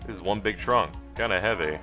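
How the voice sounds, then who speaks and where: Low-voiced man doing game commentary. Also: brisk, over music. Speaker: let's play host. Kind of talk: casual video game commentary.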